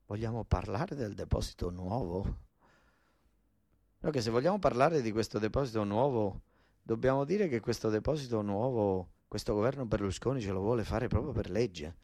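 A man's voice: fairly low pitch, a little mellow. An actor playing an agitated southern Italian son, a worker from Basilicata pleading with his father in a theatrical dialect monologue, repeating himself and stumbling over words. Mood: subdued, confiding.

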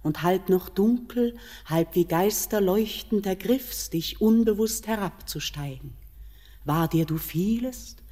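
A woman's voice: medium pitch, warm tone, close to an everyday voice. An actress playing an alluring supernatural mountain queen in an incantatory 1940s German radio drama style. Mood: solemn, entrancing. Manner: slow, incantatory, declamatory. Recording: 1949 radio recording, long cavernous reverb.

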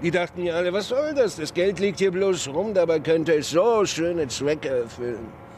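An actor playing a talkative, obsessive whistleblowing author in a radio drama, insistent, colloquial and sardonic. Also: male voice, on the high side, animated, moderate pace, darkish tone, slight background noise, lightly acted. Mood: sardonic, confiding.

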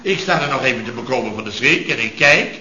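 A man's voice: medium pitch, expressive, fast, bright, forceful tone. An actor in a radio comedy playing an exasperated chef, blustering and indignantly repeating himself as he tells of disasters. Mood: indignant, dramatic. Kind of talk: radio comedy acting before an audience. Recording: echoey dull old radio recording, audience noise.

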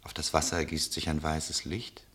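An actor playing a tender traveller who tells lyrical, solemn stories in a literary radio play. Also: male voice, rumbling low, completely flat delivery, normal speed, a bit bright. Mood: lyrical, awed. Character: male traveller, tender poetic storyteller.

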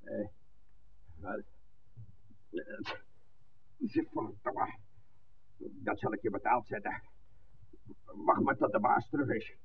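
A man's voice: medium pitch, huge pitch swings, takes it slowly, vocal fry, long pauses, heavily acted. An actor playing a sneering, defiant criminal henchman in a 1960s radio drama, coarse and taunting. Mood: groggy, vengeful. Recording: muffled, noisy old radio recording, distant.

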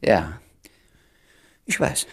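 A man's voice: extremely slow, a bit bright. A man acting in a German radio play as a jealous, fussy doctor who feigns indifference, hesitant and probing. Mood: feigned indifference.

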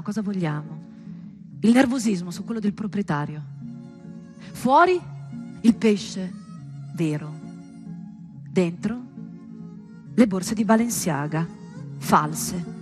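A woman performing a scripted theatrical monologue, storytelling with deliberate pauses and emphatic, dramatic delivery. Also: mid-range voice, a little mellow. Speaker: stage performer.

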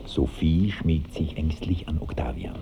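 An actor serving as the composed narrator of a radio drama, reading scripted stage directions. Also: male voice, extremely low pitch, measured pace. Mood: descriptive. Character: composed male narrator of a romantic comedy. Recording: dull old broadcast, faint hiss.

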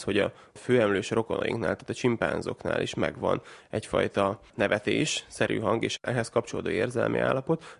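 A man with a low, heavily gravelly voice explains research at length in an interview. His delivery is measured and academic, with long chained sentences. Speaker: researcher guest.

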